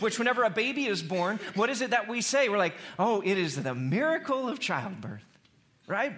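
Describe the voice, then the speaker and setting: A man preaching a conversational sermon as an animated storyteller, repeating phrases for emphasis. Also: very high-pitched. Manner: animated storyteller who acts out dialogue in slang.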